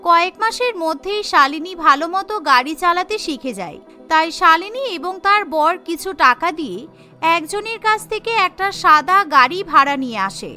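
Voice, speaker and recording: A woman's voice: very high-pitched, lively intonation, normal speed, bright, forceful tone. A woman reading scripted storytelling narration for a cartoon. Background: background music.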